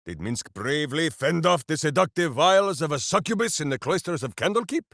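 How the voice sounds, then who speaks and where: An actor playing a boisterous, simple-hearted heroic warrior in a video game, bombastic and speaking of himself in the third person. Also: male voice, on the high side, lively intonation, fairly quick, gravelly, a bit bright, dry recording, clean studio sound. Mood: earnest, boisterous. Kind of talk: video game character voice lines.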